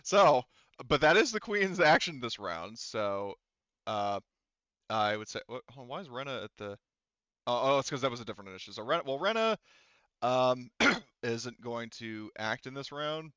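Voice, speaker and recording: A man with a clear, smooth voice runs a tabletop game as game master. He talks casually and haltingly as he works out the rules. The sound is very dry and slightly dull. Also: mid-range voice, lively intonation, pushed and bright, dry recording. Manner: halting, thinking aloud, casually profane.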